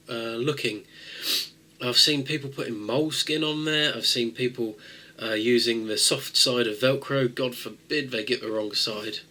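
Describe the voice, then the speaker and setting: A man's voice: mid-range voice, pushed and bright, low-quality recording. A man with a cold and a nasal voice, talking viewers through a tech fix in a rambling, casual way with lots of fillers. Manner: rambling with many fillers and restarts. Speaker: tech video host. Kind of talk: casual how-to talk-through.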